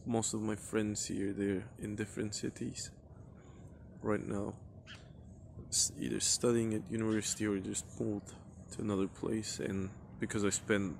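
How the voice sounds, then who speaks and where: A man's voice: lowish voice, little pitch movement, unhurried, gravelly, roomy sound, a little hiss or hum. A man in his twenties from southern Turkey, with a Turkish accent, speaking reflectively in an interview and hesitantly searching for words. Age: 20s. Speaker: student, documentary subject.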